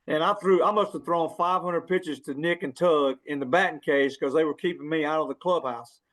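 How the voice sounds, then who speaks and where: A man's voice: a little high, steady tone, a little fast, a bit bright. A middle-aged man telling a story casually as a podcast guest. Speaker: former player, podcast guest.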